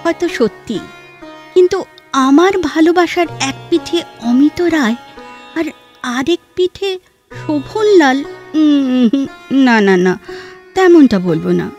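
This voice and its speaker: A woman playing a reflective, scholarly, sorrow-laden heroine in an audio drama, speaking in a measured, lyrical way with expressive pauses. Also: sits high, faint music behind, exaggerated voice. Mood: thoughtful. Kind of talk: Bengali audio drama dialogue.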